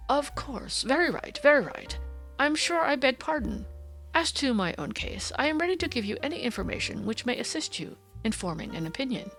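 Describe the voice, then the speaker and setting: Audiobook role: haughty aristocratic gentleman, prematurely aged, stately and wordy, animated pitch female narrator acting him out. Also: a little fast, soft background music, exaggerated voice, poor audio. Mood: flustered, apologetic. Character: pompous, petulant, foppish aristocratic English gentleman, prematurely aged. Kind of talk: audiobook character dialogue.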